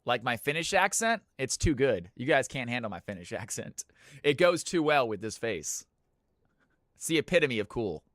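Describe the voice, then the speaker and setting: A man hosting viewer games on a live stream, chatting casually and thanking viewers, with sudden loud hype-announcer bursts. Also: medium pitch, sing-song, moderate pace, no room sound, studio quality. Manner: hype announcer bursts between easygoing chat.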